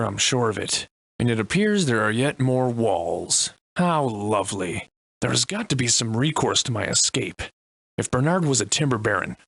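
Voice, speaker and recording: An actor playing a wry, defiant Victorian-era adventurer and monster slayer in a video game, soliloquizing in florid, old-fashioned diction. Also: male voice, medium pitch, fairly bright tone, dry recording. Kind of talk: theatrical video game voice lines in archaic English.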